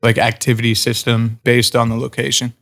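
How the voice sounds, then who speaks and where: A man's voice: mid-range voice, completely flat delivery, a little fast, creaky, fairly bright tone. Rambling man filling talk with "like". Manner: rambling, many "like" fillers. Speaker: podcast guest. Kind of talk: casual chat.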